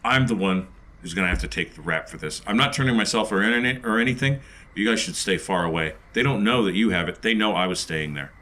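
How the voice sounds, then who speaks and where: A man's voice: on the low side, lively intonation, fast, pushed and bright. A man playing a tabletop role-playing game, improvising casually in character.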